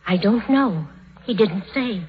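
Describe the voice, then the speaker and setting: An actress playing a polite housekeeper in 1930s radio drama style. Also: female voice, medium pitch, expressive, slow pace, soft background music. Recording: muffled 1937 radio recording.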